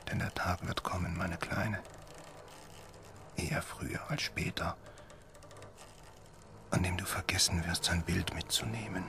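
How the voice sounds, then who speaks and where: An actor playing a haunted, grieving middle-aged father in an audio drama, halting and often hushed. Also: low-pitched, steady tone, gravelly, whispered, heavily acted. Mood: bitter, sorrowful whisper. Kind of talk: audio drama acting, alternating intimate inner monologue and dialogue. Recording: noticeable background noise and ambience.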